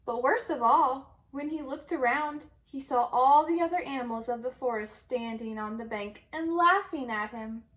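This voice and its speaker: A woman reading a children's story aloud in an expressive storytelling manner. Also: sits high, moderate pace, few pauses. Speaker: storybook reader.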